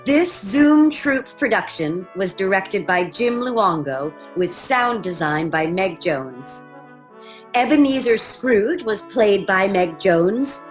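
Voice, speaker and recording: A woman narrating a scripted radio drama with measured storytelling emphasis. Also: medium pitch, lively intonation, faint music behind.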